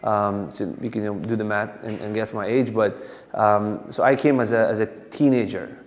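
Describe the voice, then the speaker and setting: A man's voice: lowish voice, fairly even intonation, moderate pace. Man giving a community talk in a hall. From Afghanistan. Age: 30s. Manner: conversational, many fillers and restarts. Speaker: police officer. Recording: long hall reverb.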